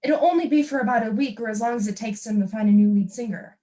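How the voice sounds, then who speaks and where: A woman's voice: on the high side, fast talker, mellow, natural voice. A young woman acting in a stage play as a defiant, wounded wife who longs to sing. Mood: earnest, tentative. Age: young adult. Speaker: student actor.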